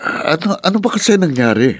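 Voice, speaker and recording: A man's voice: a little high, sing-song, a little fast. A man playing a concerned uncle and dutiful younger brother in a radio drama, with a Filipino accent and a hesitant, questioning manner. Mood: worried.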